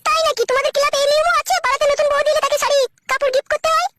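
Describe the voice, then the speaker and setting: A woman's voice: very high-pitched, fairly even intonation, very fast, very noisy. A woman voicing a plaintive, naive young daughter-in-law in a comic cartoon dub, whining. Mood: curious.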